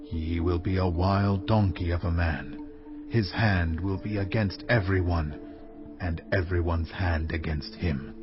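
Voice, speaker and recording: A man's voice: deep voice, fairly even intonation, measured pace. A man playing a grave heavenly messenger in a dramatized scripture reading, solemnly pronouncing prophecy. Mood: grave, prophetic. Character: angel of the Lord, grave male heavenly messenger. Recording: dull lo-fi sound, music underneath.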